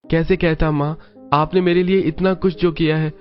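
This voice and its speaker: A man voicing a gentle, dutiful young Indian son, earnest and respectful, in a cartoon family drama. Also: fairly high pitch, little pitch movement, moderate pace, muffled recording, soft background music, lightly acted.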